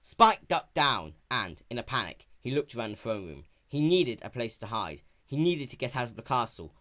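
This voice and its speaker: Male narrator, mid-pitched, lively intonation, dramatic scripted storytelling. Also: moderate pace. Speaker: narrator and reader.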